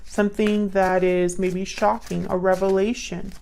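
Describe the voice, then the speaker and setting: A woman giving an improvised tarot reading to viewers, musing and thinking aloud in a halting way. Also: mid-range voice, flat delivery, gravelly, warm tone, slight background noise. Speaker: tarot reader, host.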